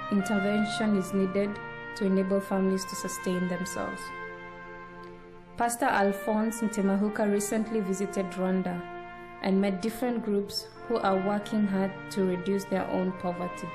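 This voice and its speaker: A woman delivers scripted documentary narration in a measured, formal tone. Music plays clearly beneath her voice-over. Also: mid-range voice. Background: background music.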